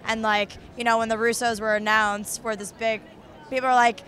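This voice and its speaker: A woman co-hosting a movie news chat, enthusiastic and fluent, her voice hoarse and fading. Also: a little high, flat delivery, normal speed, a bit bright. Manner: enthusiastic, fluent, many "you know" fillers. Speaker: co-host of a movie news show. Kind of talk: casual chat about movies. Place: outdoors at a busy convention.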